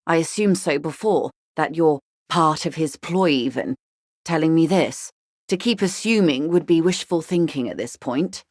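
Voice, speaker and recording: A woman's voice: deep voice, no room sound, studio quality. A woman playing a composed, weary and sardonic corporate negotiator in a video game, speaking in formal, articulate, dryly ironic dialogue. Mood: grave, dry. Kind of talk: dramatic game dialogue, measured and literate.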